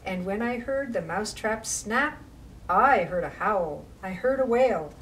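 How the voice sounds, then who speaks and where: A woman reads aloud to young children in an expressive storytime style. Her delivery is slow and deliberate. She is recorded at some distance from the microphone, with slight noise behind her. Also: mid-range voice. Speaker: library storytime reader. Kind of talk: read-aloud children's rhyming story with casual asides. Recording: distant mic with faint background noise.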